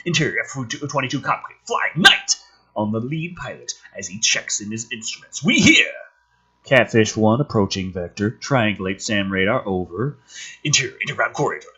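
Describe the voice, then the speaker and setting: A man's voice: fairly low pitch, big pitch swings, moderate pace, pushed and bright, slightly rough, muffled recording. A man doing a dramatic script reading with sarcastic, sweary commentary, theatrical and exasperated in delivery. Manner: theatrical, exasperated, foul-mouthed. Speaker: host reading a film script draft with commentary.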